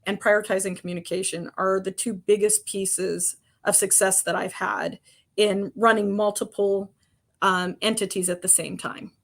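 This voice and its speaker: A woman with a commanding voice, answering interview questions in an emphatic, lively way. She sits mid-range in pitch and laughs lightly between her points. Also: normal speed, vocal fry. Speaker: construction industry guest.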